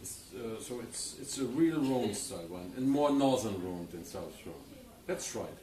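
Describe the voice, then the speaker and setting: A man's voice: fairly low pitch, lively intonation, warm tone. A man hosting a wine tasting interview, asking questions and describing the wine in a hesitant way with lots of fillers. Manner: hesitant, halting, with many fillers. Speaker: wine reporter. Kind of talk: casual interview. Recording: roomy, distant mic, faint background noise.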